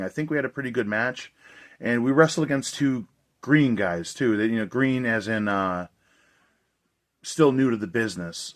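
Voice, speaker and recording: A young man with a slightly hoarse voice, chatting casually and enthusiastically as he reviews a movie release for his video channel. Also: mid-range voice, fairly bright tone.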